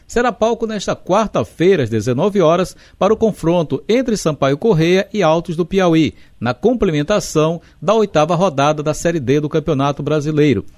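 Sports reporter guy, bit high voice, dry close mic. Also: darkish tone, no room sound.

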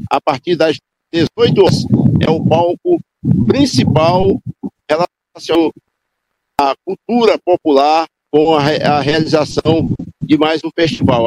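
A man's voice: on the high side, darkish tone, no room sound, low-quality recording. A man, reporting live for radio in a loose, improvised way with restarts and self-corrections. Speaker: field reporter.

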